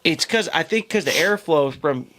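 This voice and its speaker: A man's voice: fairly high pitch, animated, normal speed, fairly bright tone. A man hosting a casual podcast about music. He rambles, restarts his sentences and swears casually. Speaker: podcast host and band member.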